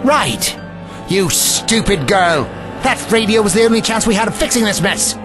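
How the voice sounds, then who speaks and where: A man's voice: high voice, lively intonation, moderate pace, music in the background. A man playing an imperious, irascible old time traveller in an audio drama, with pompous, old-fashioned, scolding speech. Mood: exasperated. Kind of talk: amateur audio drama acting.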